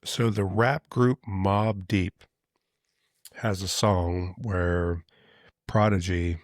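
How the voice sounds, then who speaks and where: A man talks through a casual monologue as the host of his own show. His voice sits very low, and he speaks in an earnest, conversational way, often restarting his sentences. The sound is dry, with no room echo. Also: fairly even intonation, extremely slow. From United States. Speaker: podcast host.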